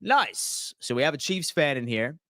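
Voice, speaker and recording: A man, hosting a casual sports livestream and answering viewers' comments in slangy, animated talk. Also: on the high side, moderate pace, no room sound, studio quality. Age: 30s. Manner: slangy, animated, reads chat aloud and answers.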